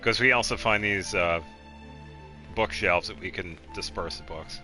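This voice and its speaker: A man giving casual video game commentary as he guides another player, explaining things in a halting, meandering way. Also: low-pitched, bright, forceful tone, faint music behind.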